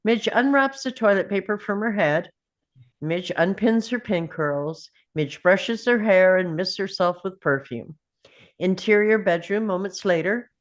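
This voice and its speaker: A woman's voice: mid-range voice, lively intonation, measured pace. A woman reading screenplay stage directions as scripted narration at a table read.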